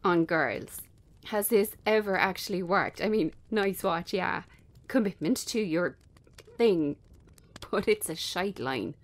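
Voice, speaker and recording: Woman acting a weary, sardonic bartender. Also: on the low side. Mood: scornful, sardonic. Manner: halting, conversational, sardonic. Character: Amy, weary, sharp-tongued female bartender in her thirties. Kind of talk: naturalistic drama script reading.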